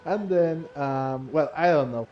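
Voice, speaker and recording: A man giving casual game playthrough commentary in a halting, repetitive, giggly way, on a lo-fi mic. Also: on the high side. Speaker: gaming video host. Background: faint game music.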